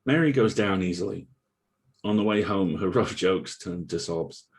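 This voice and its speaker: A man acting a brooding, guilt-haunted narrator in an audio drama read, with a measured, solemn, literary delivery. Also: deep voice. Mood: somber, brooding. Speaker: voice actor at a table read.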